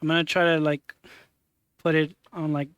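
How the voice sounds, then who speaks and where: A man in his twenties hosting a stream, chatting casually in a halting way with many fillers while he works on screen. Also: on the high side, flat delivery, fairly bright tone, clear, resonant tone, no room sound. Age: 20s. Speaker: streamer host. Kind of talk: casual chat.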